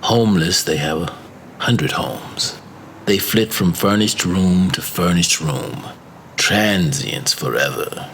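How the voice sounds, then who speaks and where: A man narrating a classic macabre story as an audiobook, with measured, deliberate phrasing. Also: low-pitched, animated, creaky, fairly bright tone, slight background noise. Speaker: story reader.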